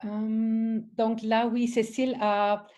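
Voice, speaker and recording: German-accented woman, slow and hesitant. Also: fairly high pitch, flat delivery, mellow. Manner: hesitant, many fillers and restarts. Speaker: presenter of a training webinar. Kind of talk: online training presentation.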